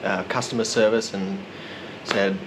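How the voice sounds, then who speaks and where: A man narrating a casual vlog in a relaxed, rambling, self-deprecating way. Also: fairly low pitch, measured pace, noisy background. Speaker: vlogger.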